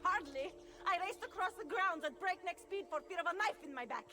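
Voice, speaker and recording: Haughty, bitter duchess. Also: female voice, extremely high pitch, expressive, brisk, pushed and bright, exaggerated voice. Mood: frantic, imperious. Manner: imperious, theatrical. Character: haughty, paranoid, bitter Italian noblewoman. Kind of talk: video game cutscene acting. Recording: music behind the voice.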